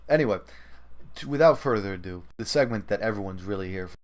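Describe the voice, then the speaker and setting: Lower-voiced man hosting gaming podcast. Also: expressive, quick pace. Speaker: podcast host. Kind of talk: casual gaming podcast chat.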